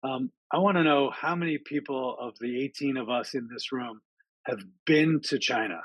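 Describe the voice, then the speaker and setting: A man's voice: medium pitch, moderate pace, no echo, rough recording. A man giving a casual talk to an online audience over a video call, friendly and chatty, with frequent ums and uhs. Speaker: speaker at an online town hall.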